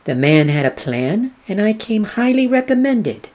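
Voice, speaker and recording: A man's voice: sits high, sing-song, a little slow, darkish tone. A man playing a cocky, taunting gangster with terse lines in a noir radio play. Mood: cocky menace. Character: cocky, taunting gangster with a gun, back from supposed death.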